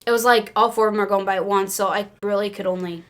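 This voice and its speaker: An American woman casually telling excited personal stories in an animated, giggly way, peppering her speech with "like". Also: mid-range voice, quick pace, slight background noise. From Illinois, United States. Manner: animated, giggly, says "like" often. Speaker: podcast crew member telling fan stories.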